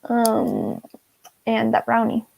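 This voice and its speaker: A woman's voice: fairly high pitch, sing-song, slow pace, gravelly, a little mellow, no room sound, rough recording. A woman hosting a casual writing livestream over a video call, talking in a chatty, playful and sometimes defensive way. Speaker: host.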